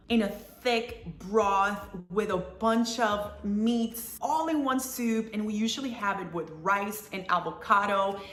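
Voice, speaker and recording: A woman's voice: medium pitch, fairly bright tone, roomy sound. A woman from the Dominican Republic with a Dominican accent, chatting enthusiastically about her favorite food in a casual vlog. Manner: upbeat, enthusiastic recommendations. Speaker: lifestyle video creator.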